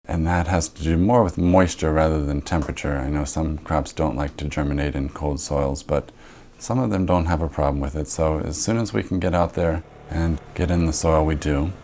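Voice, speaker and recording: A man's voice: rumbling low, fairly even intonation, normal speed, darkish tone, slight background noise. A young American man, a farmer, explaining soil preparation in a matter-of-fact, methodical way in a documentary interview recorded indoors on a lapel mic. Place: living room, indoors.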